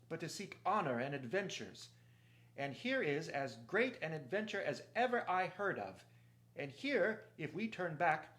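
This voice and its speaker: Male narrator as valiant little storybook mouse: high, clear, haughty, chivalrous, grandly formal oratory.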